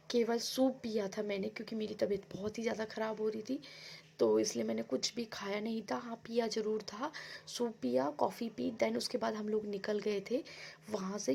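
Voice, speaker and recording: A woman vlogging about her day in a casual, rambling way, a little hoarse from being unwell. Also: medium pitch, a little mellow. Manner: chatty, rambling, explains herself to viewers. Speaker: vlogger housewife. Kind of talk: casual daily vlog chat.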